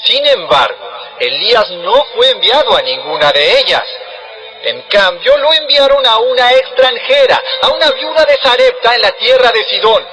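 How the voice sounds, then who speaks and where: A man's voice: very high-pitched, sing-song, normal speed, over music, low-quality recording. An actor playing an authoritative, solemn male preacher who teaches in emphatic, declamatory lines in an audio Bible drama. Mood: firm, challenging.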